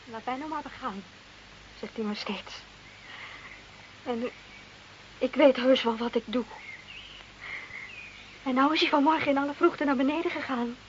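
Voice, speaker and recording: An actress playing a jealous, anxious village wife in a radio drama, plaintive and reproachful. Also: female voice, sits high, unhurried, long pauses. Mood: distressed. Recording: dull, noisy old radio recording.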